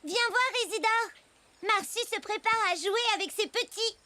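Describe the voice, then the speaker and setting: Bright cheerful cartoon girl. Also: very high-pitched, normal speed, a little hiss or hum. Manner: eager, lively. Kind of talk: French cartoon dubbing.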